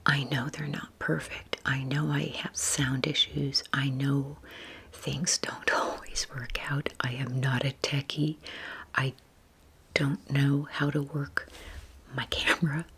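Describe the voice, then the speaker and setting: An older woman speaks in a very breathy, soft whisper with a low pitch. She rambles informally in a personal, ASMR style meant for relaxing, taking her time and gently putting herself down. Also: little pitch movement. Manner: unhurried, informal, self-deprecating. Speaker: YouTube video creator.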